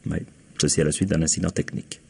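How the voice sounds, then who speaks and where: Radio mystery inspector: low, husky, shrewd and self-assured, laying out his case with measured, probing confidence. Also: steady tone, exaggerated voice. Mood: accusing. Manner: measured, probing, ends points with "hein?", quietly triumphant. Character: shrewd, self-assured male police inspector explaining a crime. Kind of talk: French mystery radio play acting.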